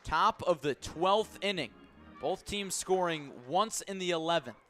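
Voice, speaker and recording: Higher-pitched male baseball play-by-play announcer. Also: faint music behind. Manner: measured narration rising to excited shouts on key plays. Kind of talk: live sports commentary.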